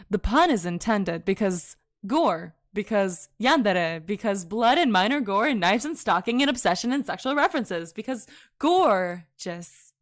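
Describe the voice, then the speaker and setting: Slow-talking giggly woman streamer. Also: mid-range voice, a bit bright. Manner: giggly, chatty, laughs often between sentences; self-interrupting, corrects herself mid-sentence. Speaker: VTuber streamer. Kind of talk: casual livestream chat.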